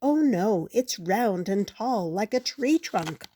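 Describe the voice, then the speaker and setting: An older woman voicing an excitable short village man reporting to a crowd in a storybook. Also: mid-range voice, slow pace, a little hiss or hum. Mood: urgent.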